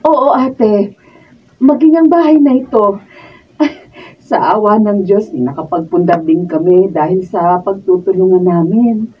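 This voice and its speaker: A kindly shopkeeper mother talks slowly and gently, with sympathetic exclamations. It is radio drama acting, heard through a slightly muffled mic. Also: medium pitch, slightly rough, far from the mic. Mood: warmly surprised. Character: kind, devout, forgiving mother and shopkeeper. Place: radio drama recording session.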